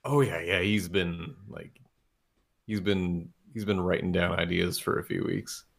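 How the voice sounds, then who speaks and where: A man's voice: low voice, steady tone, measured pace, creaky. A man co-hosting a casual movie podcast, rambling with lots of restarts and frequent laughter. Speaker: podcast co-host. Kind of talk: casual movie review chat.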